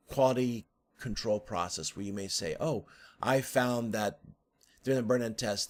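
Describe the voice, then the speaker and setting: Man explaining with lively, swooping pitch. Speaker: instructor. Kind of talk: explanatory lecture over a diagram.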